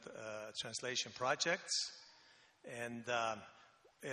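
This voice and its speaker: Man, 60s, bright voice, laid-back with fillers, reverberant church hall. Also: medium pitch. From Faroe Islands. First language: Faroese. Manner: relaxed, joking, with many pauses and fillers. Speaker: missionary Bible translation consultant. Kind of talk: guest interview at church. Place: church sanctuary.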